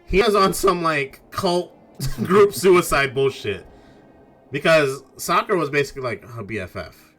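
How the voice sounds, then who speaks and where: A man's voice: a little high, animated, unhurried, a bit bright, soft background music. A man talking casually and informally as a game streamer, swearing and thinking aloud through his theories. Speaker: streamer playing a mystery game.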